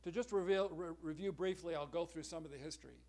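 Middle-aged man, conference lecturer at a podium mic, measured and earnest, fairly high voice. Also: darkish tone. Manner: measured, earnest, clears throat now and then. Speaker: symposium speaker. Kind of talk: academic conference talk. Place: large lecture hall at a podium. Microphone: podium microphone, far camera.

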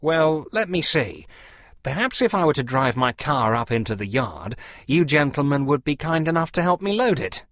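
A man's voice: a little high, big pitch swings, normal speed, fairly bright tone, no echo, voice pushed up. Guy doing a fussy polite sly parson type for a storybook, voice swoops up and down a lot, dry sound. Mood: apologetic haggling. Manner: over-polite, apologetic, feigning reluctance. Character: sly middle-aged male antiques dealer posing as genteel, fussy parson. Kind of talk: storybook character dialogue.

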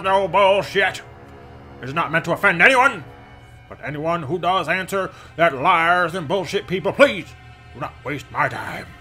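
A man in his thirties playing an angry, fed-up radio show owner in an intense, halting dramatic reading. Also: a little high, bright, forceful tone, full character voice. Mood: exasperated. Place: dark room, seen close to camera. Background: ominous background music.

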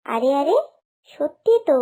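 A woman's voice: high-pitched, sing-song, unhurried, dark tone. A woman playing a doting, cheerful mother in a cartoon. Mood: delighted, fond. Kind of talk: cartoon voice acting.

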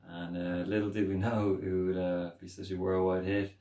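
Young man, low voice, casual chatty guitarist, off-mic, echoey wooden room. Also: normal speed, recorded from a distance. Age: young adult. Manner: relaxed, chatty, many ums. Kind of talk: casual video intro. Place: small wooden home studio cabin. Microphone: camera mic from a few feet away.

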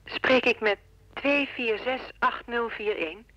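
An actress playing a polite, tentative telephone caller in a radio drama, with a husky voice. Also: female voice, on the high side, a little slow, fairly bright tone, muffled recording.

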